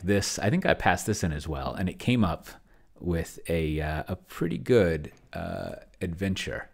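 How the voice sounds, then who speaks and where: Middle-aged man, low pitch, unscripted video host, thinking aloud, rambling, close desk mic. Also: creaky. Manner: rambling, trailing off mid-thought. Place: indoor room at home, desk setup. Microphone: desk microphone close to the mouth.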